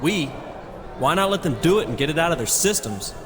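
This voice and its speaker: A man's voice: a little high, sing-song, brisk, big-room sound, noisy background. A man playing a blunt, reluctant wrestling boss in a video game, skeptical and matter-of-fact. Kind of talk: video game cutscene acting.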